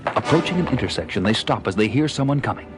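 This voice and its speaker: A man's voice: medium pitch, lively intonation, fast talker. A man narrating an audio drama with dramatic, scripted delivery. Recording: loud music behind the voice.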